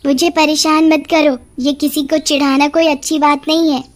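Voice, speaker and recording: A woman's voice: high-pitched, little pitch movement, moderate pace, noisy background, pitched above the performer's own voice, natural voice. A woman voicing a small bullied schoolgirl in a cartoon story, innocent, earnest and plaintive. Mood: hurt, protesting. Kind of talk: animated cartoon story dialogue.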